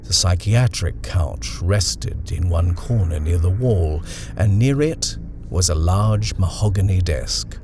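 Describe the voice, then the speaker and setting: A man reading a horror story aloud in a measured, expressive narration. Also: deep voice, background noise. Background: music.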